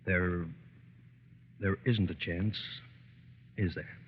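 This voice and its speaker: An actor playing an anxious judge in an old radio drama, speaking haltingly and repeating his words. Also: sits low. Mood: faltering hope. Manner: hesitant, stammering, repeating words. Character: anxious, stammering, deep-voiced old-fashioned judge. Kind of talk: 1930s-40s radio drama acting. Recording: muffled, noisy, reverberant old radio.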